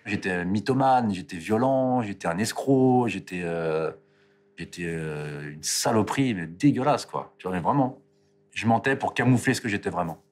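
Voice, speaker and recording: A man's voice: on the low side, animated, normal speed. A man from France giving a candid, hesitant interview about his past. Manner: candid, hesitant, self-critical. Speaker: documentary subject. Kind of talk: reflective interview.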